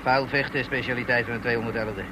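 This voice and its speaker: A man's voice: mid-range voice, steady tone, very fast, noisy background, lightly acted. Male paratrooper, actor-played for radio drama: tough, cocky, disciplined, terse replies, mid-range voice.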